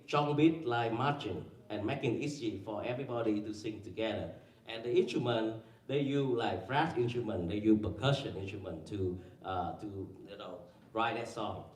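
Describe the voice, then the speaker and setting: A man presenting at a conference panel, talking haltingly with many fillers and restarts and quizzing the audience. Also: mid-range voice, darkish tone. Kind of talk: academic presentation with slides. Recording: echoey room, mic far away.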